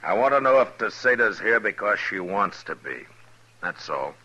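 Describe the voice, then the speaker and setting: An actor playing a stern, steady Old West marshal in a radio western, speaking in a measured, authoritative, terse way. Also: male voice, fairly low pitch, breathy edge, highs cut off, full character voice. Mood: commanding. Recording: vintage radio recording with faint noise.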